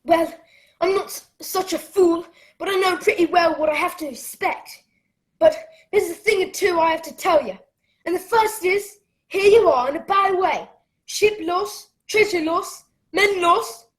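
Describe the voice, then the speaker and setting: Plucky boy hero and storyteller for radio play, played by a woman: earnest, high-pitched. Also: a little slow, poor audio. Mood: defiant. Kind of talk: radio play narration and acting.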